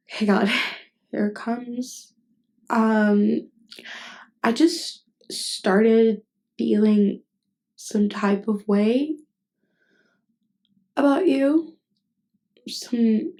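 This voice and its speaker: A woman acting a nervous, tender best friend with hidden love in an intimate ASMR roleplay, speaking hesitantly and haltingly. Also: medium pitch, mellow. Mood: nervous, tentative. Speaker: voice actress.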